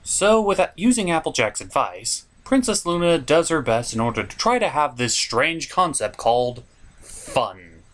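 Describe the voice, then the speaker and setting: A man's voice: fairly high pitch, sing-song, measured pace, fairly bright tone. A man giving a scripted comedic review as host, with an exasperated, sweary, ranting delivery. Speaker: puppet-show reviewer and host.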